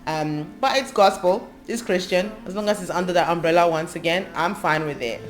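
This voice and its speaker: A woman's voice: on the low side, brisk. A woman in her thirties from England, a gospel singer, talking thoughtfully about her life in an interview, listing things with frequent ums. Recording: music playing under her voice. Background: background music.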